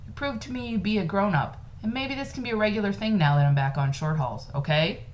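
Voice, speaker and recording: A woman's voice: medium pitch, fairly quick, a little hiss or hum, pitched above the performer's own voice, natural voice. A woman reading as a firm, placating dad. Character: firm but placating working-class dad.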